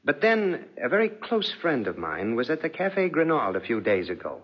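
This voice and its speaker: An actor playing a suspicious aristocratic husband in a 1940s radio drama, with silky, deliberate, insinuating politeness. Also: male voice, medium pitch, wildly swooping pitch, husky. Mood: veiled menace. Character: suave, suspicious, menacing husband, an aristocratic lord. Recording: dull, muffled 1940s radio recording.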